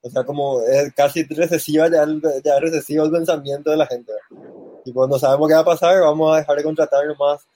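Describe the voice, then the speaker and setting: A man's voice: fairly high pitch, steady tone, fast, dark tone, low-quality recording. A man chatting casually as a panelist, recounting his own experience.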